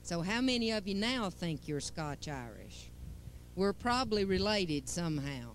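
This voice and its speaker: A woman's voice: on the low side, very animated, a little slow, a little hiss or hum, rough recording. Playful woman storyteller. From Western North Carolina. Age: 40s. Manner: playful, addresses listeners directly. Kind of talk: folksy talk to an audience.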